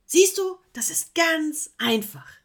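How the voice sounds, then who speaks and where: A woman voicing a fussy, indignant female koala who scolds in a shrill, insistent way. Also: expressive, slow pace, fairly bright tone, heavily acted. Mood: lecturing.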